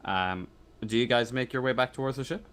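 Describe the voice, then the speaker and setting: A man running a tabletop roleplaying game as game master, improvising narration in a halting way, searching for words. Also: mid-range voice, a bit bright.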